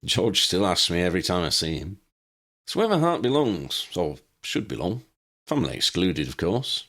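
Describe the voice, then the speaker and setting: A man's voice: deep voice, sing-song, moderate pace, a bit bright, heavily acted. A man voicing an easygoing, earnest young father who speaks plainly in an audiobook. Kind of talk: audiobook character reading.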